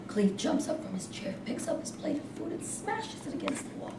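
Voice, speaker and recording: A woman's voice: high-pitched, big pitch swings, fairly quick. A woman giving scripted narration of scene headings and stage directions at a staged reading. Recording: echoey room, distant mic, noisy background.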